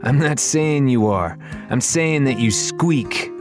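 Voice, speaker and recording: A man's voice: medium pitch, lively intonation, slow, voice pushed up. Storybook older brother, fifteen, rustic, teasing and grumbling, acted by a male narrator, music underneath. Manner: mocking, bantering.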